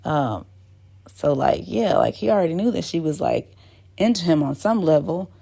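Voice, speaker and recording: A woman's voice: sits low, expressive, moderate pace, gravelly, warm tone, husky. A woman host talking casually to her viewers, rambling with many fillers and restarts. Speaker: channel host. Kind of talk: casual talk to camera.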